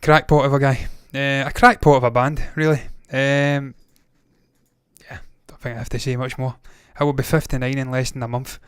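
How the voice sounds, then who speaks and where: A man's voice: mid-range voice, flat delivery, normal speed, fairly bright tone, clear, resonant tone, dry recording, studio quality. A man in his thirties hosting a movie news show, talking casually, with rambling opinionated asides. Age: 30s.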